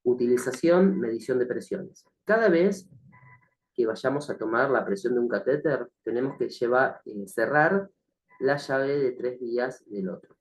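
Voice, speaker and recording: A man teaching a recorded nursing class, slightly hoarse from the flu, speaking hesitantly with many fillers and frequent check-ins with the listener. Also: mid-range voice, animated, unhurried, low-quality recording. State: has a cough from the flu. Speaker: nursing instructor. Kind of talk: recorded online lecture.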